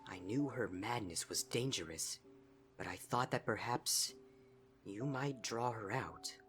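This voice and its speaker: A man voicing a gentle, regal old mare princess, calm and regretful, in a story reading. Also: fairly low pitch, voice pushed up. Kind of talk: character voice in fan fiction reading.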